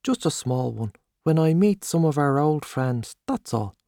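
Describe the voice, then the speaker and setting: A man's voice: fairly high pitch, animated, a little slow, gravelly, dry recording, clean studio sound, voice pushed up. A man doing a timid little clerk. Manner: soft, hesitant, brief replies. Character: quiet timid small man, modest drinker.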